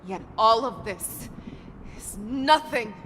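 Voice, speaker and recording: A woman playing a proud, defiant warrior general in a video game, speaking in deliberate, dramatic declarations. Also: a little high, animated, fairly bright tone, a little hiss or hum. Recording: long cavernous reverb with music behind.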